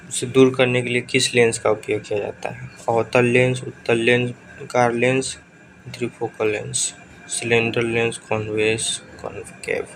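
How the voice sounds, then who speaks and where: A man with a sore throat teaches an exam-prep quiz lesson in a gravelly voice. His pitch stays flat and level as he reads out questions and options. The recording carries clear background noise. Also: low-quality recording. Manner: brisk, urges viewers to answer quickly.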